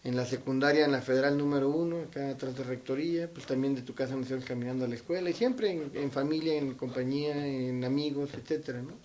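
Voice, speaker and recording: A man's voice: mid-range voice, fairly even intonation, quick pace, few pauses, a little mellow. Middle-aged Mexican man chatting, faint noise behind. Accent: central-northern Mexican. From Zacatecas, Mexico. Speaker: interview guest, doctor. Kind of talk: casual conversational recollection. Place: his own home, indoors.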